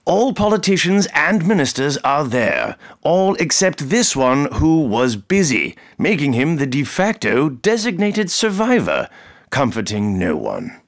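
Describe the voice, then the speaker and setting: American man, animated mock-grand narrator. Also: a little high, measured pace. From United States. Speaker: comedian narrator. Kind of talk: mock nature-documentary scripted narration.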